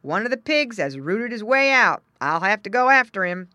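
Storybook farmer, read by a woman: male, brisk, decisive, loud and forceful. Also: mid-range voice, sing-song, no room sound, clean studio sound, pitched above the performer's own voice. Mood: alarmed, decisive. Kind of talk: children's storybook character voice.

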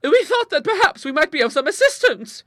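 A man's voice: extremely high pitch, big pitch swings, fairly quick, sharp tone, no room sound, studio quality, pitched above the performer's own voice, heavily acted. A man voicing a timid, fluttering elderly English spinster in a storybook, genteel, anxious and easily shocked. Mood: timid, polite. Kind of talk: storybook character voice in an audiobook.

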